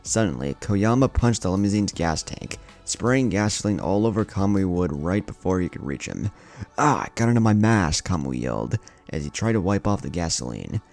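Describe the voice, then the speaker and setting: A man's voice: sits low, expressive, normal speed, creaky, hardly any pauses, darkish tone. A man narrating a scripted dramatic story as the host of a story channel. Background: faint music.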